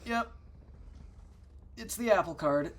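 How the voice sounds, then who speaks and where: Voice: mid-range voice, expressive, slow pace. A co-host on a casual tech podcast, teasing the host and laughing often.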